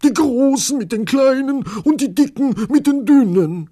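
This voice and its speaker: Very shrill, frantic train conductor. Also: male voice, expressive, a little slow, a little mellow, exaggerated voice. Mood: frantic, despairing. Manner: agitated, pleading.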